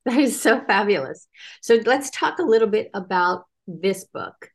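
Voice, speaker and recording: A woman's voice: medium pitch, lively intonation, normal speed, breathy edge. A woman with a mid-range voice hosts an author interview. She delivers scripted introductions and questions effusively, as a show's presenter. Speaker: show host and producer.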